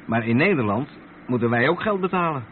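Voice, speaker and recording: An actor with a Netherlands Dutch accent playing an experienced long-haul trucker who patiently explains things in a radio play. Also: male voice, medium pitch, lively intonation, brisk, lightly acted. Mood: patient, explaining. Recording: muffled old radio play, vehicle noise.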